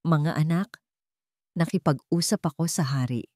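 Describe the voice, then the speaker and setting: A woman voicing a humble widowed mother in storybook dialogue, speaking earnestly and gently. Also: low-pitched, measured pace, dry recording, studio quality. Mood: earnest hope. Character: humble, anxious widowed mother regaining hope.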